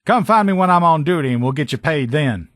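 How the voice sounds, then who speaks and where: A man's voice: a little high, expressive, fairly quick, dry recording, studio quality, lightly acted. A man playing a brash sheriff's lieutenant and proud armor mechanic in a video game, blunt, sardonic and salty-tongued. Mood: casual, businesslike. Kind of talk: video game character dialogue.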